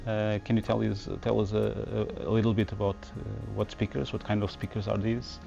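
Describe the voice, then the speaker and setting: A man's voice: on the low side, flat delivery, brisk, vocal fry, mellow, lo-fi sound, soft background music. A man interviewing exhibitors for a podcast at a trade show, asking his questions hesitantly with fillers.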